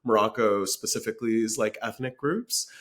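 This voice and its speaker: Young male podcast guest from Florida, lowish voice, deadpan comedic delivery. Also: normal speed. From Florida, USA. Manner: dry deadpan, many ums and likes. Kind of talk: casual comedic chat.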